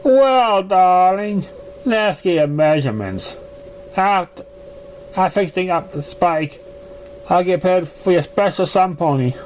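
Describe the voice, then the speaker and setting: A man voicing a dramatic, genteel female pony dressmaker in a theatrical, drawn-out, affected manner. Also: sits high, animated, darkish tone, slight background noise, pitched above the performer's own voice, poor audio. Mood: eager, gracious.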